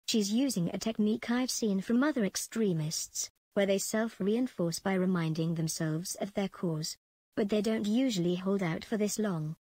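A woman's voice: medium pitch, mellow, dry recording, lightly acted. An actress playing a ruthless female prison commandant in a space empire, dictating clipped official log entries for a video game.

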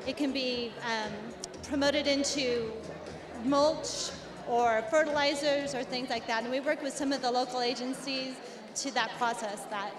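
High-voiced woman explaining earnestly. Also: steady tone, a little slow, over music. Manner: earnest, explanatory, many restarts. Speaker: company representative at a business expo. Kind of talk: expo interview. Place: indoor expo hall before a backdrop. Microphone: handheld mic held by the interviewer. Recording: echoey hall with crowd noise.

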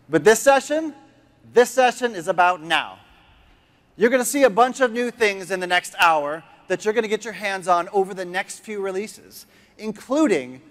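A man's voice: high voice, fairly bright tone. A middle-aged man hosting a keynote on a large conference stage, enthusiastic and rallying the crowd. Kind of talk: energetic keynote presentation.

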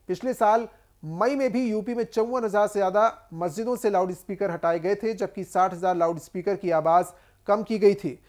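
A man's voice: high-pitched, darkish tone. A man, reading a scripted news report as an anchor.

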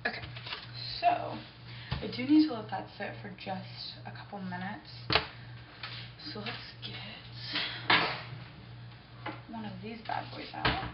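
A woman's voice: medium pitch, takes it slowly, long pauses, very noisy. A young woman talks in an easygoing, rambling way to the camera while cooking in a home kitchen. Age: young adult. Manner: easygoing, rambling, self-excusing. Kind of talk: casual unplanned chat to camera while baking. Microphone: camera mic a few feet away. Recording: roomy, distant, dull camera audio with music.

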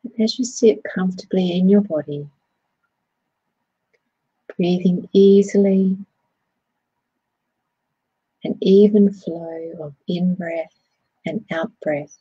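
Very husky, low-voiced woman guiding meditation. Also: no echo, dull audio. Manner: soothing, gentle, unhurried phrasing. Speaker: meditation guide. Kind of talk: guided body scan meditation.

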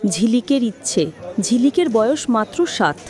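A woman narrating a moral cartoon story in a solemn, measured way. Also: fairly high pitch, animated, crowd noise.

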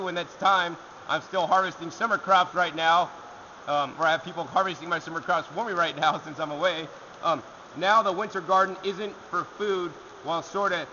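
A young man hosting a gardening show, answering viewer questions to camera outdoors in a rock alcove, energetic and gesturing as he talks. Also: high voice, highs cut off, a little hiss or hum. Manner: energetic, talks with his hands.

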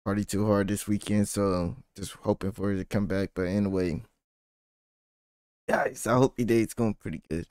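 A young man hosting a gameplay channel, his voice hoarse and nearly gone, rambling in a laid-back way. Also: lowish voice, fairly even intonation, moderate pace, a little mellow, no room sound, studio quality. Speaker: gaming channel host. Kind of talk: casual gameplay commentary.

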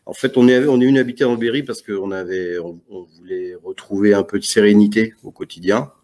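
A man's voice: on the low side, fairly quick, a little mellow. A man, a guest on a live video call, talking hesitantly with many fillers and jokes. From Paris region, France. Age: 40s. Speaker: YouTube channel guest. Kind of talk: casual live video chat. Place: indoor room with arcade cabinet.

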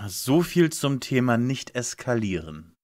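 A man's voice: medium pitch, big pitch swings, slow pace. A man playing a smug, precocious schoolboy detective, pompous and over-polite, in a parody audio play.

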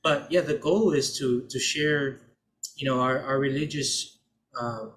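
A man's voice: medium pitch, steady tone, measured pace, fairly bright tone. A man in his thirties from American Samoa, hosting a podcast in casual chat, talking loosely with many restarts and fillers. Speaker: podcast host. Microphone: desk microphone.

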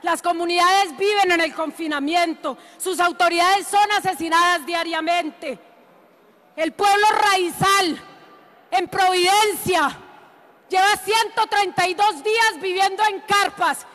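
A woman, delivering a forceful, indignant political speech in a parliament chamber. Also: very high voice, measured pace, big-room sound. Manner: forceful, indignant, emphatic oratory. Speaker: congresswoman. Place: large chamber of parliament, indoors.

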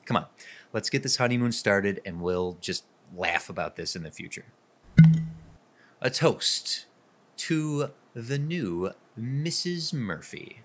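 A man's voice: mid-range voice, animated, slow pace, a bit bright, soft background music. A man playing an earnest, jittery newlywed husband in a radio drama. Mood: reassuring.

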